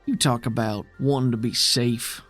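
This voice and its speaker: A man playing a bitter, worried, steadfast man defending his underground city in a tabletop game, with gruff folksy speech. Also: mid-range voice, steady tone, moderate pace, a bit bright, soft background music, close to an everyday voice. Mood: angry, accusing.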